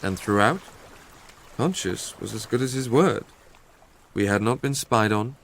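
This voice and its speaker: Low, reflective English male narrator. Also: a little slow, gravelly, a bit bright, slight background noise. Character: educated Englishman recalling his romantic obsession, wistful and introspective. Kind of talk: first-person literary radio drama narration.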